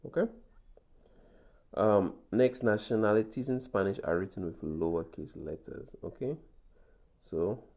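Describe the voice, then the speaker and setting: A deep, gravelly man guides a class through a Spanish lesson, pausing often to ask "okay?" and repeating each point. His tone is dark and mellow, and he teaches patiently. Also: muffled recording.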